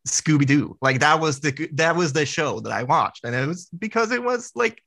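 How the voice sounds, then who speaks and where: A man from Sweden talks as a guest on a casual podcast. His voice has a bright, forward edge. He often stumbles and restarts his sentences, and he sounds eager the whole way through. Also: on the high side, lively intonation, creaky. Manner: halting, with many restarts and fillers.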